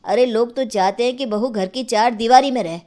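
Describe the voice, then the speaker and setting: A woman's voice: on the high side, a little fast, warm tone, a little hiss or hum, lightly acted. A woman playing plain-spoken family folk in an acted moral story. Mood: matter-of-fact.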